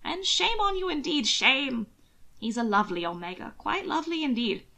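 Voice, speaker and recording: A woman's voice: high voice, very animated, moderate pace, creaky, bright, forceful tone, pitched above the performer's own voice, exaggerated voice. A woman voicing a reproachful, haughty female relative in an audiobook story, emphatic and shaming.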